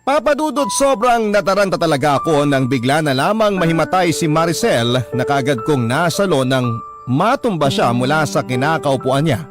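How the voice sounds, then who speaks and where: A distraught parent narrating a radio drama, voiced by a man in a fairly high pitch. The delivery is earnest and sorrowful first-person storytelling, with music clearly playing underneath. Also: animated, normal speed, natural voice. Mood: anxious, urgent. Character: distraught parent recounting a child's illness to radio host.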